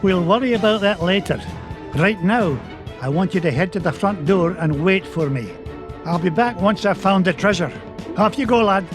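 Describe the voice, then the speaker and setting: An actor playing a bold, fond old Scottish duck uncle on a treasure hunt in a cartoon game. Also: male voice, sits high, animated, normal speed, music in the background. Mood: fond, reassuring. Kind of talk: animated cartoon game acting.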